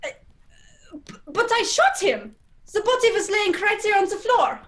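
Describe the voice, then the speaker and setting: Young woman playing a high-voiced, politely menacing spy chief. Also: big pitch swings, normal speed, a bit bright, exaggerated voice. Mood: baffled. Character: suavely menacing spy chief posing as respectable citizen. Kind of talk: amateur radio play acting. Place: indoors, performed over a video call.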